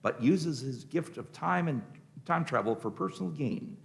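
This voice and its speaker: A man's voice: on the high side, expressive, moderate pace, vocal fry. A man reading scripted narration as the narrator of a radio play.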